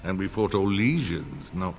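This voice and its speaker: An actor playing a middle-aged, grey-haired noble lord in a fantasy game, formal and courtly in a measured delivery. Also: male voice, low voice, expressive. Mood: genial. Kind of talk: scripted fantasy game dialogue. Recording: long reverb, game music behind.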